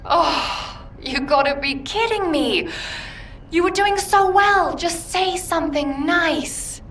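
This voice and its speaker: A woman's voice: very high-pitched, takes it slowly, a bit bright, a little hiss or hum, heavily acted. A woman playing an earnest, playfully teasing young operations officer in a sci-fi video game, speaking articulately and expressively. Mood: teasing, exasperated.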